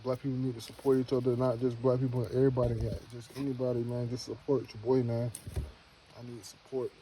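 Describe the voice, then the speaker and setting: Man in his thirties, casual vlogger, warm low-key tone, loose rambling delivery, faint noise behind. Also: little pitch movement, fast talker, poor audio. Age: 30s. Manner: rambling, repeats himself, slangy; earnest motivational pep talk. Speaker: homestead vlogger. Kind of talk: casual to-camera vlog.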